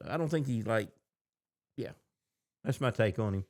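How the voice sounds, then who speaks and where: A man's voice: on the low side, lively intonation, moderate pace, darkish tone. A man co-hosting a podcast in casual chat, playful and often repeating himself as he thinks. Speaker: podcast co-host. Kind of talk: casual chat about books and shows.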